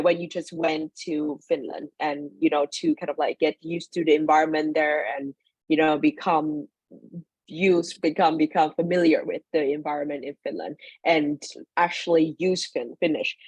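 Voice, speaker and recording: A young woman with a low, slightly husky voice talks casually on a video call, full of fillers and restarts. The sound is dry and close, with no room around it. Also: fairly even intonation, moderate pace, darkish tone, dry recording, low-quality recording. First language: Vietnamese. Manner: loose and chatty, frequent fillers and self-corrections. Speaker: webinar speaker, former student in Finland. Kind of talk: informal personal talk to an online audience.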